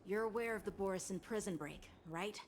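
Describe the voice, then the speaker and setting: A woman's voice: mid-range voice, a little fast, a little hiss or hum, close to an everyday voice. A woman voicing an earnest, polite young boy lieutenant in a video game, speaking dutifully.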